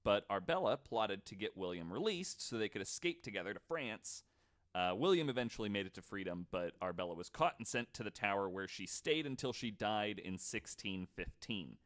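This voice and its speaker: A man's voice: fairly low pitch, animated, normal speed, a bit bright. A man hosting a podcast, telling stories to co-hosts in a casual, joking, informal way with some swearing. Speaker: podcast host.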